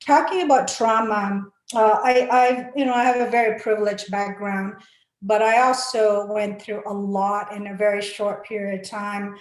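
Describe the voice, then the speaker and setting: A woman's voice: mid-range voice, steady tone, normal speed. A woman from Malaysia, giving an earnest, encouraging talk on a webinar panel, full of fillers and restarts. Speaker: panel speaker. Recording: echoey room.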